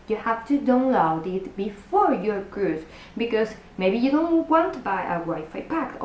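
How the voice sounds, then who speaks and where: A woman's voice: mid-range voice, darkish tone, echoey room, a little hiss or hum, low-quality recording. A woman from Buenos Aires with an Argentine Spanish accent, a native Spanish speaker giving cruise packing tips in a chatty vlog. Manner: chatty, restarts and self-corrections, direct address to viewers. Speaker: cruise vlogger.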